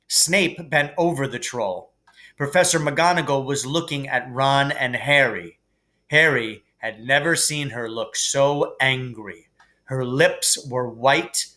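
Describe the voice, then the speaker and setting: Slow, measured male storybook narrator. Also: mid-range voice. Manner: measured, expressive read-aloud. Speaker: teacher reading aloud.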